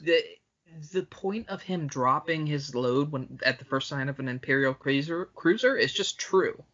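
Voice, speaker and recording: A man's voice: a little high, moderate pace, fairly bright tone, lo-fi sound. A man co-hosting a podcast, discussing film casually in a blunt, profane, opinionated way. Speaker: podcast co-host.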